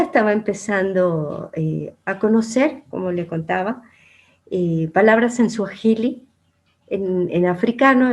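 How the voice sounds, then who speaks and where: A middle-aged woman, telling her life story as an interview guest in a reflective, conversational way. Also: mid-range voice. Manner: reflective, with soft laughs and some trailing quiet phrases. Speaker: interview guest, former producer and journalist.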